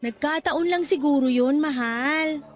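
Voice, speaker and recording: High-pitched young girlfriend, affectionate, coaxing, encouraging, radio drama, faint music behind. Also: unhurried. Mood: reassuring. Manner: expressive, coaxing, reassuring. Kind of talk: Tagalog radio drama acting.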